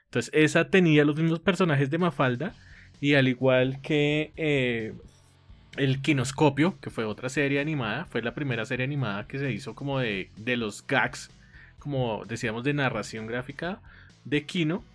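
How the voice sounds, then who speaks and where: A man on a podcast, chatting casually about comics and often pausing with fillers. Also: mid-range voice, soft background music. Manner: conversational, hesitant with many fillers. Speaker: podcast co-host.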